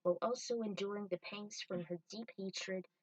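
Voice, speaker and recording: A woman's voice: mid-range voice, steady tone, normal speed, darkish tone, no echo. Woman narrating a story, slightly distant. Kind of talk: narrating fan fiction.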